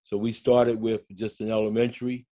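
An older man, a school coach, recalls his past in a slow, thoughtful way over a video call. His voice sits low and mellow, with little rise and fall. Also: little pitch movement. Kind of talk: panel discussion. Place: home room, indoors.

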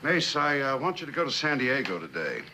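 An actor playing a stern, deliberate middle-aged company boss in a 1960s television drama. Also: male voice, medium pitch, very animated, pushed and bright, slight background noise. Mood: measured, concerned.

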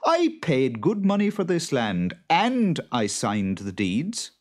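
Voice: medium pitch, wildly swooping pitch, slow, voice pushed up, heavily acted. A storyteller voicing a proud, stubborn male farmer in a children's tale, speaking plainly and emphatically. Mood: indignant.

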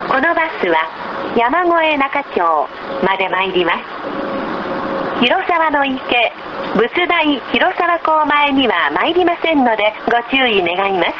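A woman reading scripted bus stop announcements in a formal, measured, polite manner on a low-quality recording. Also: animated, muffled recording. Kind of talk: scripted public transit announcement. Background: loud vehicle noise.

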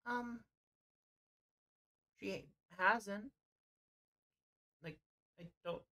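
A game master narrating an improvised tabletop roleplay over an online voice call, often pausing mid-sentence. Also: mid-range voice, pushed and bright.

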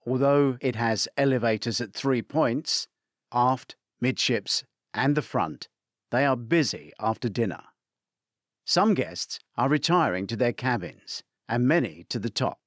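A man reading a crime thriller aloud as scripted narration, measured and theatrical, lifting his voice for quoted commands. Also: medium pitch, expressive, no room sound, studio quality. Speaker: audiobook narrator.

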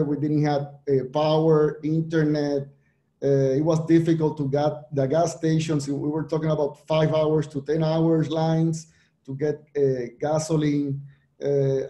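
A man from Puerto Rico presenting on a virtual panel, his speech halting and full of restarts. His voice sits a touch high but sounds mellow. The room around him is echoey. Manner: halting, many restarts and fillers.

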